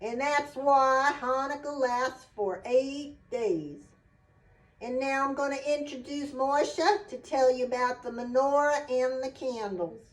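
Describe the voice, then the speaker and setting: A woman voicing a gentle puppet storyteller who explains simply and asks a child questions, recorded at a distance from the mic. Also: high-pitched, takes it slowly. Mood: gentle, teacherly.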